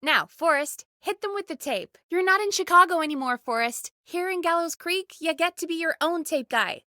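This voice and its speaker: A small-town woman radio producer in a video game. Her voice sits high and swings widely in pitch as she chats, earnest and playfully teasing. The sound is dry and clean, with no room around it. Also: animated, normal speed, pushed and bright, no room sound, exaggerated voice. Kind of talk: video game voice acting, conversational.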